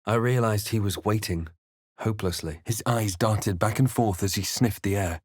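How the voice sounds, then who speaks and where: A man playing a brooding, wry adventurer hero in a fantasy game, speaking in a measured, grave, literary way. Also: lowish voice, no room sound, clean studio sound, lightly acted. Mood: solemn. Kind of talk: scripted game voice lines and narration.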